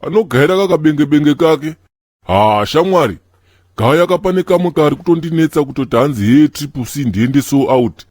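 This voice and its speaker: A man's voice: a little high, lively intonation, moderate pace, darkish tone, no room sound, clean studio sound. Cocky Zimbabwean cartoon Rasta ladies' man, acted by a man. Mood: bragging. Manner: bragging, teasing. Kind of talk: comedic cartoon banter in slangy talk.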